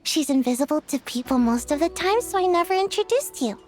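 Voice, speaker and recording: A sleepy, defensive little ninja girl, very high voice, soft music under it. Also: lively intonation, a little fast. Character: sleepy young ninja girl, touchy about her height.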